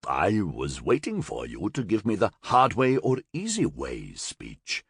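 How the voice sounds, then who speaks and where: A man voicing a gurgling, fanged half-animal male monster, held prisoner and speaking in a taunting, sardonic, drawn-out way. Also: sits low, huge pitch swings, slightly rough, no room sound, studio quality, heavily acted.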